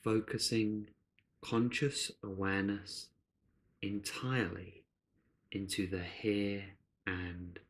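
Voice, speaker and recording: A man leading a guided meditation in a gentle, unhurried way, speaking in short phrases with long pauses. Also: on the low side, little pitch movement.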